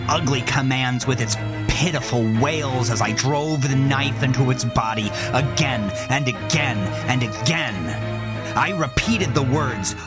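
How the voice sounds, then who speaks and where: A man narrating a first-person horror story with intense, deliberate, theatrical delivery and a husky voice. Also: medium pitch.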